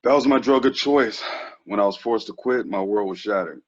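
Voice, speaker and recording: An actor reading a frank, laid-back twenties ex-athlete who is quietly troubled, slangy and earnest, in a TV drama table read. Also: male voice, lowish voice, normal speed, close to an everyday voice. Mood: heavy, confessional. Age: young adult. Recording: dull lo-fi recording.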